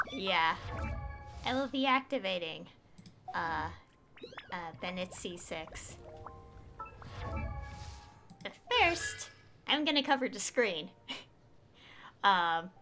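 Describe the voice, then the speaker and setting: A woman's voice: mid-range voice, expressive, very slow, long pauses, music in the background. A woman chatting casually on a gameplay livestream, rambling and hesitant as she thinks aloud. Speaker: game streamer.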